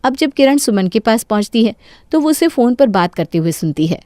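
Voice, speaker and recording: A woman's voice: fairly high pitch, big pitch swings, fairly quick, mellow, dry recording, clean studio sound. A woman giving scripted narration of a cartoon story.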